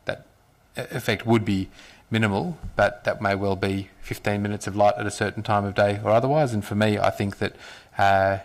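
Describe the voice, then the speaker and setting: Male councillor, low flat delivery, halting with fillers, Australian accent, desk mic in a big chamber. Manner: halting, many fillers and restarts. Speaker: city councillor. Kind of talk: council debate speech. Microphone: desk microphone.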